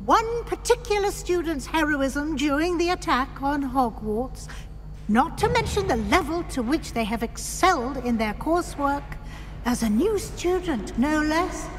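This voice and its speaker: High female professor voice, measured and formal, slow lilting phrases, long hall reverb. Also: animated. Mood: poised, persuasive. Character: poised, politely firm female professor. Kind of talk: video game character acting.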